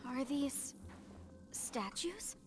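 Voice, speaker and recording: A woman's voice: high voice, big pitch swings, extremely slow, full character voice. An actress playing an earnest, principled young female archaeologist in a video game, speaking formally and emphatically. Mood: amazed. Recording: game music underneath.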